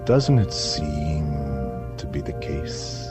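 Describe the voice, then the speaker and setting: An actor playing an eerie, grandiose radio science reporter, delivering a portentous monologue with dramatic pauses over music. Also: male voice, extremely low pitch, big pitch swings, full character voice. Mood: solemn, probing. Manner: portentous, dramatic pauses, swinging from hush to proclamation. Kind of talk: theatrical scripted radio monologue. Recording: music behind.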